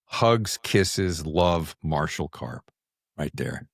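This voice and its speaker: A man's voice: a bass voice, animated, very slow, gravelly, no echo, clean studio sound. A man hosting a conversational interview podcast, asking rambling, circling questions. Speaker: podcast host.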